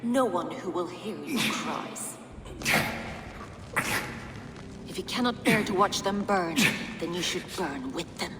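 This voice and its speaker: An actress playing a cold, fanatical abbess villain in a video game, imperious, measured and menacing. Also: female voice, fairly low pitch, expressive, heavy background noise, lightly acted. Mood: menacing, commanding. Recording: long hall reverb, music behind.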